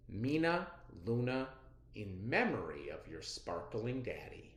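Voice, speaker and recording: Very animated, unhurried storybook reader. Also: mid-range voice. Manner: expressive, dramatic emphasis. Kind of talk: animated children's read-aloud narration. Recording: roomy, echoey sound.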